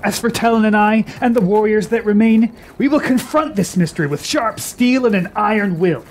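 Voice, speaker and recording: An actor playing a proud, scornful young warrior prince in a fantasy radio drama, speaking in a haughty, dramatic way. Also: male voice, high voice, normal speed, slight background noise, lightly acted. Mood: defiant.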